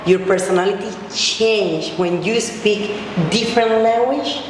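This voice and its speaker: Encouraging woman teacher, heard from a distance with steady background noise. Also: mid-range voice, a little slow. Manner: encouraging, praising, asks follow-up questions. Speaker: teacher leading a class discussion. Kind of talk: classroom discussion. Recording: echoey room from far off with background noise.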